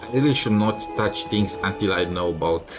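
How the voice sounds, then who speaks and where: A man's voice: sits low, brisk, music in the background. A man giving casual gameplay commentary with wry, amused asides. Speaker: streamer playing a game.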